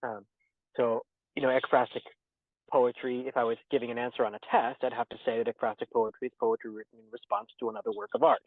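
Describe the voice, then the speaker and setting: A man answering interview questions thoughtfully about poetry and teaching, pausing often with fillers and restarts. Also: medium pitch, flat delivery, no room sound. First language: English. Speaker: interviewed poet and theology teacher. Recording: tinny dry phone-like line.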